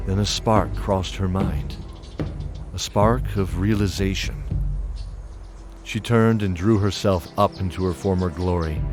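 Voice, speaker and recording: Guy narrating fantasy audio drama, really low voice, measured storyteller, bit of music behind.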